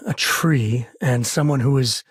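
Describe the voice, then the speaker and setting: A rugged frontier spacefarer from a video game, an American man with a husky, medium-pitched voice. He speaks in a folksy, earnest way with a wry edge, like a protective father. The sound is dry and close. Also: takes it slowly, dry recording. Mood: earnest, measured. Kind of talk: video game character voice acting.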